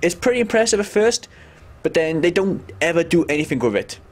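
Animated young man, high-ish voice. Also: fairly quick. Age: young adult. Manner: exasperated, sweary, informal. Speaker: video game reviewer. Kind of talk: comedic game review commentary. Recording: noisy home room recording.

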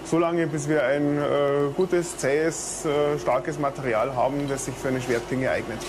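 A man explaining a practical craft step by step, in short halting phrases. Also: on the high side, steady tone. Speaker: smith demonstrating his craft. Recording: clearly noisy location sound.

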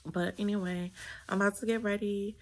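Gravelly woman chatting to camera. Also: mid-range voice, steady tone, brisk, low-quality recording. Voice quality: gravelly morning voice. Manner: chatty, laughing, addresses viewers as y'all. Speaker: vlogger. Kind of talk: casual chat to camera. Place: small bedroom at home, indoors. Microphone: phone held close or propped at arm's length.

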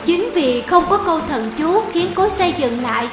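A woman narrates a scripted folk tale. Her voice is extremely high in pitch. Music is clearly heard behind her. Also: animated, normal speed, reverberant.

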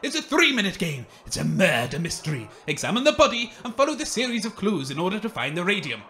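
A man's voice: sits high, big pitch swings, quick pace, sharp tone, a little hiss or hum, heavily acted. A man playing a flustered, exasperated game show host who scolds his contestants, in a comedy audio drama.

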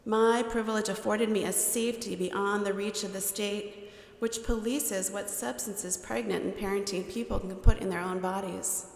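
Middle-aged woman giving a sermon, measured pace, bit of vocal fry, roomy echoey sanctuary. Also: mid-range voice, fairly even intonation. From United States. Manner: measured, deliberate cadence with lists. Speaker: preacher. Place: large church sanctuary. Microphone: headset mic at a pulpit. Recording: echoey church hall with long reverb.

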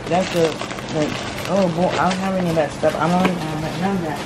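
A middle-aged mother exclaiming excitedly while opening gifts in a home kitchen, filmed on a handheld camera. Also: lowish voice, quick pace. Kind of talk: casual family chat. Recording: echoey kitchen with loud noise.

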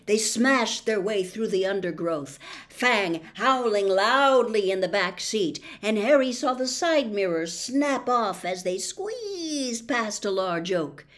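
An older woman narrating a story expressively, in a dramatic, deliberate manner. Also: mid-range voice, animated, a bit bright. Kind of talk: expressive audiobook-style narration. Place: small bedroom, indoors.

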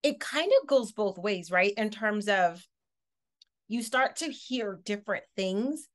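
A woman's voice: medium pitch, animated, takes it slowly, fairly bright tone. A woman hosting a video call interview, enthusiastically summing up her guest's points. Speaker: podcast host. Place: home office indoors. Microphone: video call webcam.

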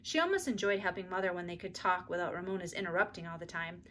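A middle-aged woman reading a children's book aloud in expressive storybook narration, recorded in a room at home. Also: fairly low pitch, fast, a little hiss or hum. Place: small indoor room at home.

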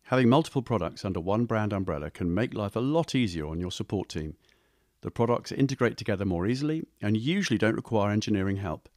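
A man reading a scripted explainer voice-over for a software review video, recorded close to the mic. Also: lowish voice, no room sound.

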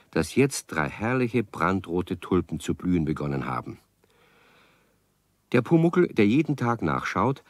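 A man narrating a children's radio play as an animated storyteller, speaking directly to young listeners. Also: sits low, dry recording.

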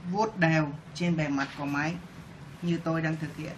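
A man's voice: a little high, steady tone, moderate pace. A man giving step-by-step tutorial instructions as a demonstrator. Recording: clearly noisy room recording.

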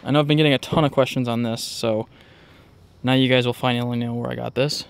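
A man's voice: mid-range voice, fairly even intonation, quick pace, creaky, a little hiss or hum. A man vlogging outdoors, narrating a casual walkaround in a rambling, informal way. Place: outdoors in a driveway beside a truck.

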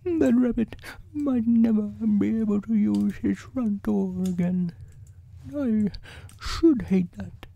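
A performer voicing a gentle, anxious toy bear in a storybook, simple-hearted and plaintive. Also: mid-range voice, slow pace, creaky, no echo, voice pushed up, rough recording. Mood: sniffing, sorry.

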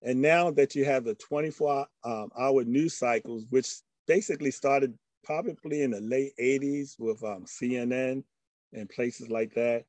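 Slow, halting older man presenting. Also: mid-range voice, darkish tone, dry recording. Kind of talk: informal slide presentation. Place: indoor room at home, video call. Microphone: computer microphone on a video call.

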